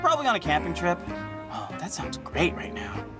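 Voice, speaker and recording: A man's voice: on the high side, wildly swooping pitch, brisk, over music. A man playing a laid-back, cheerful young prince in a video game, casual and playful.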